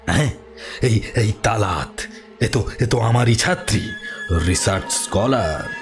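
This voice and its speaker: A ruthless, gloating villain in a horror audio drama. His delivery swings widely in pitch yet moves slowly, halting and repeating words with taunting menace. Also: lively intonation, over music. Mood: smug. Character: gloating, ruthless male villain, kidnapper obsessed with occult secrets.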